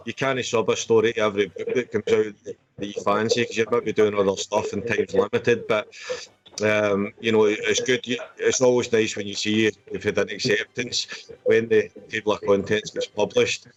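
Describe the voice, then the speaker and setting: Low-voiced Scottish man, rambling. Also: little pitch movement, normal speed, dull audio. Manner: rambling, repeats stock phrases. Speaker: indie horror author and anthology publisher. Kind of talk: casual panel chat. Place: remote group video chat.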